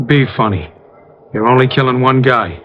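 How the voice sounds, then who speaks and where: A man's voice: mid-range voice, normal speed, close to an everyday voice. A man playing a slangy, threatening hired gunman in an old-time radio crime drama. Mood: angry menace. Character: menacing, dim, trigger-happy male hired gunman. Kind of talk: 1940s-50s radio gangster acting. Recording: muffled vintage radio recording.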